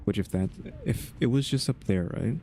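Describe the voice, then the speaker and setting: Low, gravelly man thinking aloud over gameplay. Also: animated, normal speed, warm tone, a little hiss or hum. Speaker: video game streamer. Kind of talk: casual gameplay commentary, reading quest text.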